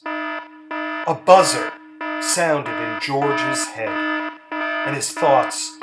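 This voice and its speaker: A man narrates a short story in an expressive, theatrical style. His delivery is slow and very animated in pitch, at a middling register, with music playing behind him. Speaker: story reader. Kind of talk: dramatic literary narration.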